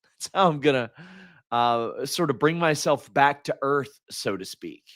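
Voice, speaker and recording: A hoarse man hosts a solo sports stream and vents about his team like a fed-up fan. His voice sits at an ordinary middle pitch, and he stumbles and restarts when he gets worked up. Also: expressive, measured pace, fairly bright tone. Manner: frustrated ranting fan, restarts mid-sentence. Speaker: host.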